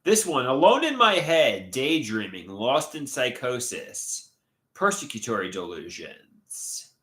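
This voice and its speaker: A man's voice: mid-range voice, very animated, slow, a bit bright, roomy sound. A man hosting a casual livestream, reading chat comments aloud with a stuffy, congested nose and a rambling, hesitant way of talking. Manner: rambling, hesitant, trails off mid-thought. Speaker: livestream host.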